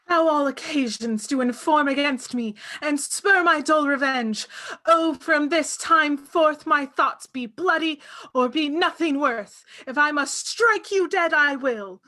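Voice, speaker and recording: A morbid little girl voiced by a woman declaims verse gravely and theatrically. Her voice is high and forceful, with slow, weighty delivery. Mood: grave, vengeful. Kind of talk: table read of a comedy film script.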